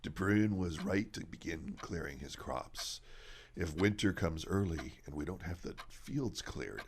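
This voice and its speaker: A man's voice: low-pitched, expressive, a little slow, slight background noise. A man playing a superstitious, teasing farmer father in a horror radio drama, with old-fashioned rustic phrasing. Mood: folksy, musing.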